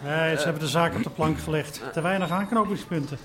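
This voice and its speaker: An actor playing a matter-of-fact police detective in a Dutch radio drama, speaking in a businesslike way. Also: male voice, fairly high pitch, quick pace, a bit bright, close to an everyday voice.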